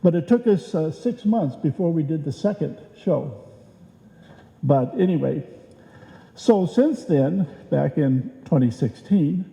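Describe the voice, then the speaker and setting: An older man giving an informal, halting tribute speech to a live audience in a hall. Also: fairly high pitch, animated, creaky, mellow. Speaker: club presenter at an award presentation. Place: live event in a hall, at a microphone. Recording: echoey hall with long reverb.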